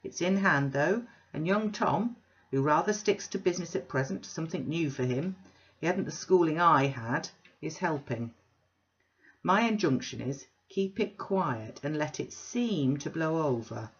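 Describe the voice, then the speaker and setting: A woman voicing a blustering, boastful middle-aged businessman who talks loud and blunt. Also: low voice, animated, a little slow. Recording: dull lo-fi recording.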